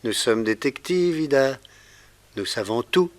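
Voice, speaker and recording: Radio drama role: man as straight-talking detective's deputy, medium pitch, theatrical period delivery. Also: animated, a little slow, close to an everyday voice. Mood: pressing, pointed. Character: loyal, frank male police detective lieutenant. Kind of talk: 1960s French radio drama acting.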